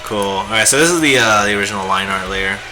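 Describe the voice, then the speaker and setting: A hoarse, raspy man chatting casually and rambling with lots of fillers while he draws on a live stream. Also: on the low side, fast. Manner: laid-back, rambling, many fillers. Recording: music playing behind the voice. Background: guitar music.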